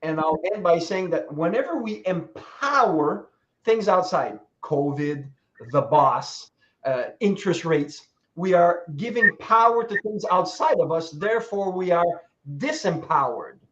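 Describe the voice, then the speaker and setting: A man's voice: high-pitched, unhurried. A man in his sixties telling motivational stories as a guest speaker. He talks with energy, laughs as he goes and acts out the people he quotes. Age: 60s. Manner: animated, laughing asides, acts out quoted voices. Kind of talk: motivational storytelling. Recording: dull lo-fi sound.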